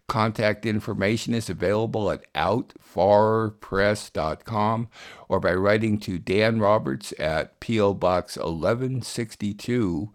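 A man reading scripted narration as the host of a radio programme. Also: on the low side.

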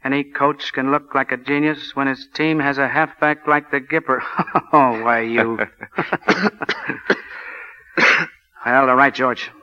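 A man's voice: medium pitch, little pitch movement, normal speed, close to an everyday voice. A man playing a commanding, wry American college football coach with clipped, bossy delivery, in 1940s radio drama style. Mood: teasing, fond. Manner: clipped, bossy, wryly sarcastic. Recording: dull lo-fi 1940 radio broadcast.

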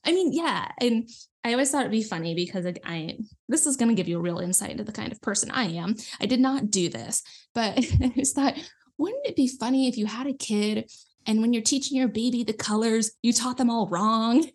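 An American woman chatting casually and telling stories in an animated, informal way as an interview guest. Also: fairly high pitch, fairly quick. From rural Nebraska, United States. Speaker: interview guest, former teacher. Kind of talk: casual chat, telling anecdotes.

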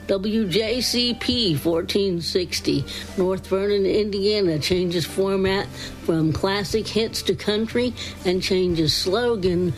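A woman's voice: mid-range voice, low-quality recording. A woman announcer reading a scripted list in a formal, evenly recited way. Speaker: radio segment announcer.

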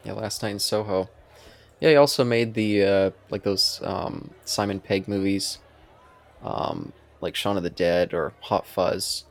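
Guy with a lowish voice n lots of fry, rambling thru casual film chat on a podcast. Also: fairly even intonation, a little slow. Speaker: podcast co-host. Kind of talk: casual chat about films.

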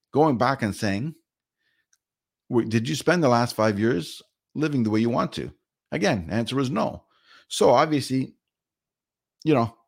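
Chatty low-voiced man rambling through a story. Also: creaky. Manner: rambling, chatty, many fillers. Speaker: vlogger. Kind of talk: casual storytelling.

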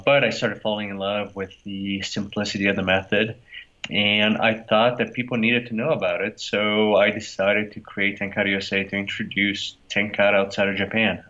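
A man's voice: lowish voice, pushed and bright. A man with a Brazilian accent, a company founder answering interview questions in an easygoing way, laughing often. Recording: dull lo-fi audio.

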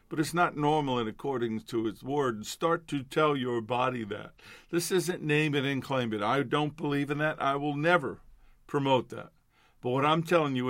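A man's voice: medium pitch, fairly bright tone, no room sound. Older male minister, nasal and congested, earnest prayer rising to fervent declarations, dry close sound. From New York. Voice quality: nasal from allergies. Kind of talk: devotional prayer and exhortation.

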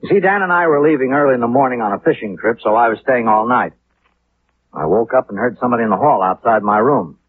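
An actor playing a slick, cynical American city attorney in a 1950s radio drama, speaking in a wry, glib, knowing way. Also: male voice, medium pitch, expressive, fast talker, lightly acted. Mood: wry, insistent. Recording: tinny, noisy 1950s radio recording.

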